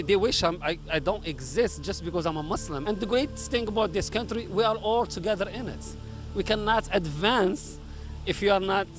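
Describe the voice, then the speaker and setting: A man from Morocco, a community leader, speaking earnestly in an interview with frequent restarts. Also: sits high. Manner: earnest, halting with repeated restarts. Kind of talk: recorded interview.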